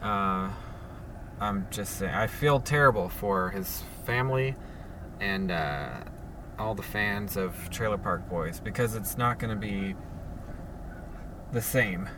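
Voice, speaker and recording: A low-voiced man talks in a flat monotone, halting often with ums and restarts as he rambles through a solo podcast from his parked car. His voice sits a little back from the mic, with a faint hum of noise behind him. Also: distant mic. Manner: hesitant, halting, many fillers and restarts. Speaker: podcast host. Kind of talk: casual solo podcast monologue. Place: sitting alone in a parked car.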